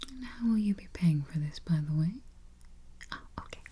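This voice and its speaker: A woman whispering softly as a travel agent in an ASMR roleplay, murmuring little mm-hmms between phrases, close to the mic. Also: fairly low pitch, lively intonation, normal speed, slight background noise. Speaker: ASMR artist playing a spooky travel agent. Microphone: close sensitive mic. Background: keyboard typing and finger taps.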